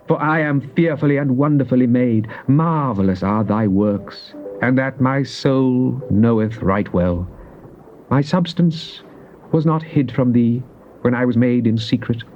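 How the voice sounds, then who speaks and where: An actor playing a fervent psalmist, solemn and theatrical in a dramatized Bible reading. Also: male voice, mid-range voice, a little slow, lightly acted. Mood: awed. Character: fervent psalmist addressing God in prayer and lament. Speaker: scripture reader. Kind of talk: dramatized Bible reading, British stage delivery. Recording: muffled, noisy old cassette tape.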